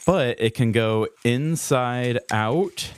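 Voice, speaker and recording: A man's voice: medium pitch, slow, clear, resonant tone, no echo. A man co-hosting a podcast in casual chat, with a playful, self-deprecating manner. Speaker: podcast co-host.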